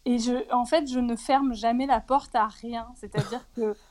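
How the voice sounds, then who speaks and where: A woman in her forties speaking candidly about her personal life in an interview, reflective and hesitant, often pausing on fillers. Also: fairly high pitch, steady tone, darkish tone. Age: 40s.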